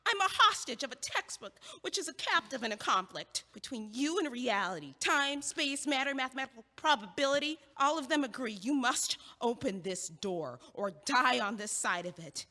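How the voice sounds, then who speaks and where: A woman's voice: high voice, moderate pace, hardly any pauses. A young actress playing a blunt, impatient student who teases and bosses her neighbor around in an audio play. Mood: exasperated. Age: young adult. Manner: teasing, bossy, lively. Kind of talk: stage audio play acting. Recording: long hall reverb.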